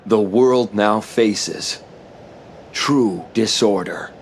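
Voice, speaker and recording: A man's voice: lowish voice, extremely slow, slight background noise. A man playing a noble, earnest warrior in a fantasy video game, speaking solemnly and formally. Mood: solemn resolve.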